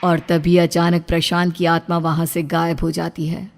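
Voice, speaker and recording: A woman's voice: fairly low pitch, completely flat delivery, moderate pace, slight background noise. A woman narrator reading a scripted, dramatic story.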